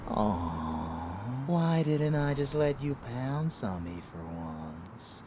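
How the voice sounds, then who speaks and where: A man's voice: mid-range voice, noisy background. A man playing an arrogant, possessive vampire lover in an intimate ASMR roleplay, theatrical and teasing, slipping into whispers.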